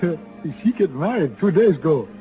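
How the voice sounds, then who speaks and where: A high-pitched, lively hotel bellhop in an old radio western. He speaks broken English, eager and a little sly, with soft music around him. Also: normal speed, rough recording. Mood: apologetic. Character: cheerful, eager Chinese hotel porter, sly and helpful. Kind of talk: 1950s radio western acting.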